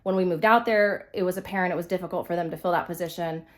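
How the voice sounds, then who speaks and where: A woman's voice: on the low side, steady tone, quick pace. A young woman from California chatting casually, with many fillers and restarts, while dressing a doll, her voice a little stuffy from being sick. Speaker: vlogger, reborn doll collector.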